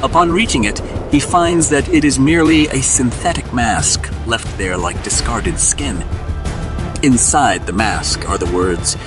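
A man's voice: medium pitch, a little slow, over music. A man giving dramatic scripted narration as the narrator of an audio drama.

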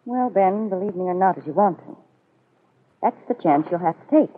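A woman's voice: on the low side, lively intonation, brisk, breathy edge, soft background music. An actress playing a confident, scheming middle-aged woman in a 1940s radio soap, cool and insinuating. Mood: coolly threatening. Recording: muffled old radio broadcast.

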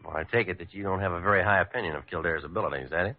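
An actor playing a patient, reasonable radio operator in an old radio drama. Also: male voice, deep voice, racing. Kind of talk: 1940s radio drama acting. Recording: thin, phone-like old radio recording.

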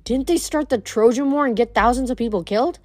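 A woman's voice: a little high, fast talker, darkish tone, no room sound, natural voice. A woman voicing a flustered, earnest teenage boy who keeps protesting.

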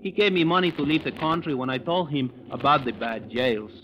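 An actor playing a sly, cash-minded Latin American hustler with a Spanish accent, speaking halting, formal English in old-time radio drama style. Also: male voice, mid-range voice, expressive, natural voice. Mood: sly, cheerful. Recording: dull, noisy old radio recording.

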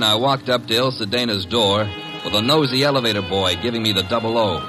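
An actor playing a cocky, wisecracking detective's assistant, slangy and hard-boiled, in an old-time radio drama. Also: male voice, mid-range voice, natural voice, rough recording.

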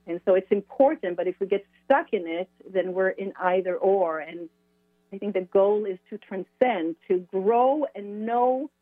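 A woman's voice: on the low side, no echo. A middle-aged woman from Israel, a guest author speaking reflectively and searching for words in a phoned-in interview. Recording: dry tinny phone line.

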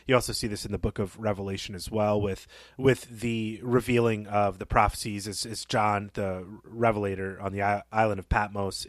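A man with a slightly low voice co-hosts a literary discussion podcast. He explains his reading in a measured, scholarly manner. The sound is close and dry, with very little room on it. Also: a bit bright, no echo. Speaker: podcast co-host.

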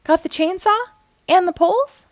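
A woman's voice: very high-pitched, moderate pace, no room sound, pitched above the performer's own voice. A woman voicing an urgent fire chief and crew hollering orders in a children's storybook read-aloud.

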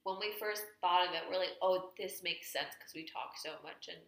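A young woman co-hosting a podcast in casual chat, talkative and quick to laugh. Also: lowish voice, a bit bright. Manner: laughs easily, chatty with many fillers. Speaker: podcast co-host. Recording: echoey room.

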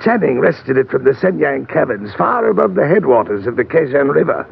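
Florid, creaky fat treasure hunter. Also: male voice, on the high side, sing-song, brisk, heavily acted. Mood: musing, sly. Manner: florid, pompous, hesitant oily phrasing. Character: fat, flowery, eager gentleman treasure hunter. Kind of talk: old-time radio drama acting. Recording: noisy, tinny old radio recording.

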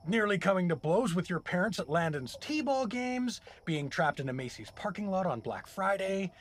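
A man's voice: high-pitched, big pitch swings, normal speed, slight background noise. A man playing an exasperated, blustering everyman, a reluctant hero trapped in a comedic fantasy audio drama, indignant and incredulous. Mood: self-pep-talk.

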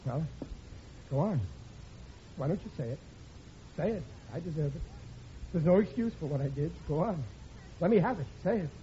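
An actor playing a blundering, guilt-ridden working-class husband in 1940s radio comedy, flustered and plaintive with a stammer. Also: male voice, on the high side, lively intonation, normal speed, long pauses, warm tone, lightly acted. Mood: guilty, self-pitying. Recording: muffled, noisy old radio recording.

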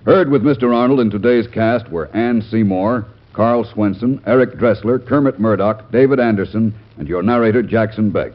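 A man's voice: lowish voice, moderate pace, few pauses. A man reading scripted announcements and credits in mid-century radio announcer style on a muffled old broadcast.

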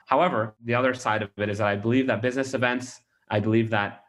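A man's voice: mid-range voice, steady tone, quick pace, fairly bright tone. A man from Australia, a startup founder, answering interview questions in a rambling way with many restarts and fillers.

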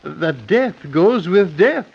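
Solemn Scottish judge, declamatory, lightish swooping voice, muffled vintage radio recording. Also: male voice, high voice, very animated, slow pace, vocal fry, husky, heavily acted. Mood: solemn warning. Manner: declamatory, repetitive singsong. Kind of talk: old-time radio drama acting.

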